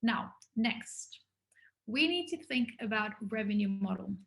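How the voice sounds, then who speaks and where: A woman's voice: medium pitch, expressive, measured pace, creaky, fairly bright tone. A woman giving an informal business workshop to students, talking in a conversational, enthusiastic way.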